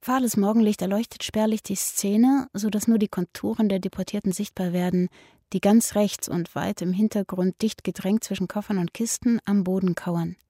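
A woman reads a play's stage directions aloud. Her voice is mid-pitched and mellow, and the recording is dry and close. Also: fairly even intonation, normal speed, dry recording.

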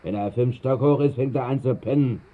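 An actor playing a jovial, boozy working-class pub regular, slangy and teasing, in a sixties radio drama. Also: male voice, medium pitch, a little fast, natural voice. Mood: tipsy, teasing. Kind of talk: 1960s radio drama acting. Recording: dull 1960s radio recording.

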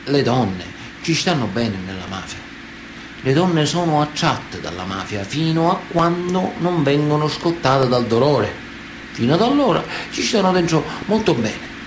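Higher-voiced Sicilian mafia man, noisy recording. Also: sing-song, measured pace. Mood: knowing, confiding. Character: Sicilian mafia man of honour, earnest, matter-of-fact confider. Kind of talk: theatrical radio monologue.

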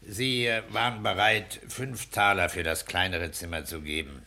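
An actor playing a pompous, self-satisfied petty-bourgeois husband and landlord in a declamatory 1960s German radio play style. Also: male voice, low-pitched, steady tone, moderate pace, vocal fry, bright, forceful tone, full character voice. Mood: calculating, bossy. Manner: pompous, declamatory, bullying joviality.

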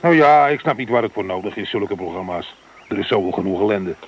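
A man playing a gruff, cynical radio inspector. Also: on the low side, expressive, fairly quick, low-quality recording. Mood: grumbling. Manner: blunt, grumbling, crude. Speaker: radio monitoring van operator. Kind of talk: radio drama dialogue.

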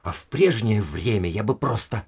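An actor in an audio drama playing a haughty, indignant aristocratic official who speaks in an emphatic, scornful and domineering way. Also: male voice, lowish voice, lively intonation, moderate pace, a little mellow, husky, exaggerated voice. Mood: imperious. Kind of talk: Russian audio drama acting.

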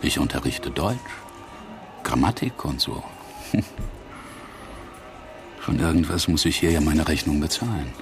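An actor playing a disillusioned, wry ex-academic in a conversational radio drama, musing haltingly with breathy dips. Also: male voice, very deep voice, expressive, over music. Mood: resigned, musing. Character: disillusioned male drinker, ex-academic German teacher, wistful, wry.

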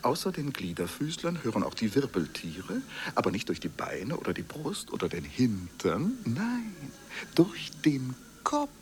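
A man's voice: mid-range voice, huge pitch swings, unhurried. A man playing a cryptic declaimer of wordplay in avant-garde sound-poetry radio.

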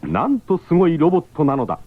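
A man's voice: fairly high pitch, wildly swooping pitch, rapid-fire, heavy background noise. Emphatic man narrating a radio drama. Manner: emphatic, exclamatory storytelling. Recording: tinny 1970s radio broadcast.

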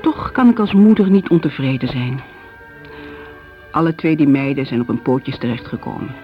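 A woman's voice: rumbling low, expressive, moderate pace. An actress playing an older mother in a radio drama, fretful and judging, confiding her family worries in a Netherlands Dutch accent. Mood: wistful, pained. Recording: dull radio recording, faint music.

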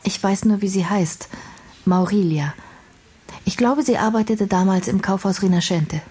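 A woman's voice: mid-range voice, moderate pace, mellow, a little hiss or hum, close to an everyday voice. An actress playing a blunt, earnest young intellectual woman in a radio crime drama, speaking precisely and formally. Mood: candid, matter-of-fact. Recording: music playing behind the voice.

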